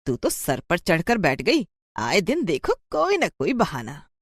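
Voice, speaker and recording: Woman playing a loud, scolding rich mistress. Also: lowish voice, huge pitch swings, a little slow, dry recording, studio quality, heavily acted. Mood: scornful. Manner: scolding, curt. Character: harsh, impatient rich lady employer of a maid.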